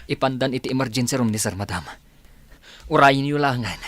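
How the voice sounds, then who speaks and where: Man acting an earnest, mid-pitched young helper. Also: fairly quick, fairly bright tone, lightly acted. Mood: urgent, respectful. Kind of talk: comedy radio drama acting.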